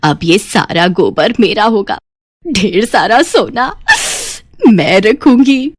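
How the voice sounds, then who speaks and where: A greedy older village mother-in-law from a moral cartoon story , played by a woman. She is pushy and scheming, and she grumbles and wheedles with a smug air at a middling female pitch. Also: animated, takes it slowly. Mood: gloating.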